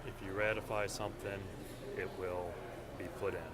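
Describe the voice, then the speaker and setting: A man's voice: low voice, level tone, moderate pace, a crowd in the background. A young man answering quiz questions as a contestant in a casual, chatty way. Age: young adult. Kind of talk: casual chat. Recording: very noisy urban outdoor location.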